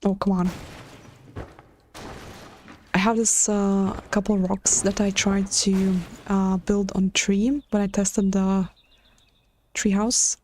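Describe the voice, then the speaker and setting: A woman with a Latvian accent chats to her stream as she builds in a game, her speech hesitant and dotted with fillers and restarts. Her voice is mellow and fairly level, and a faint hiss runs underneath. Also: medium pitch, level tone, slow pace, long pauses. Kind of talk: casual live-stream chat while building in a game.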